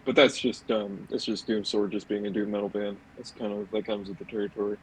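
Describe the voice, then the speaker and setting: A man's voice: on the low side, little pitch movement, a little fast, a little hiss or hum, poor audio. A man with a dry throat co-hosting a casual music podcast, rambling loosely and restarting his sentences as he goes. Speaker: podcast co-host.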